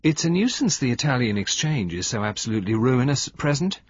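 A good-natured but rather dim young gentleman acted by a male reader from a storybook. He is vague and hesitant, with a lively, varied pitch and a dry sound. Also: a little fast, husky, no room sound, muffled recording. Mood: amiable. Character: handsome, amiable, slightly stupid young husband.

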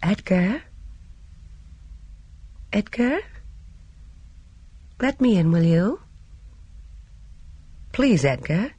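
Audiobook role acted by a narrator: older wife, soft-spoken, gentle, quietly in command, very dry recording. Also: medium pitch, big pitch swings, normal speed, husky, no echo. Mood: gentle coaxing. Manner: gentle, coaxing.

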